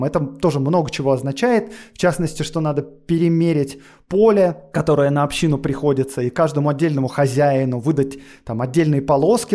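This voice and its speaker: A man's voice: on the high side, mellow. A man explaining history on a podcast in a lecturing way, with frequent fillers and asides. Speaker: guest historian.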